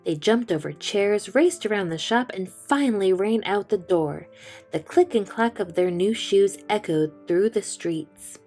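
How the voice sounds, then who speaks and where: A woman reading a children's storybook aloud, slow, gentle and expressive. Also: mid-range voice, soft background music. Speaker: children's book reader. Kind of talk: storytime read-aloud narration.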